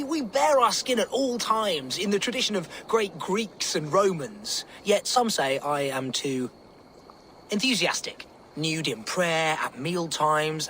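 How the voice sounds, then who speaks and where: High, swooping, pompous nudist zealot. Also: male voice, a bit bright, a little hiss or hum, exaggerated voice. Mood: pious, boastful. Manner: pompous, grandiose, florid declamation. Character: fanatical, flamboyant, gleefully vengeful male nudist preacher. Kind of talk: theatrical video game character acting.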